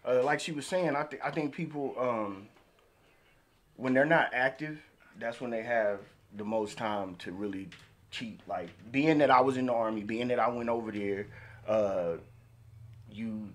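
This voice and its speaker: A man chatting casually on a podcast in colloquial speech, halting and repeating himself as he goes. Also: mid-range voice. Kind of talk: casual chat.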